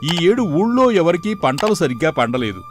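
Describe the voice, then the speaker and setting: A man's voice: fairly high pitch, very animated, normal speed, over music. Man acting a chatty, lifted-voice village temple priest. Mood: animated, respectful. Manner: polite, gently probing. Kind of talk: dramatised moral story dialogue.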